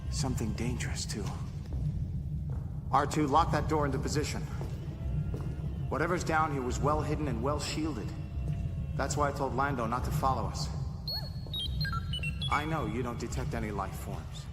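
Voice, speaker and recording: Earnest young space-knight hero played by a man, solemn and intent, audio drama with long reverb and loud music. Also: mid-range voice, moderate pace, long pauses, natural voice. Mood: urgent, commanding. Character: earnest, brooding young male Jedi hero. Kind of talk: sci-fi audio drama acting.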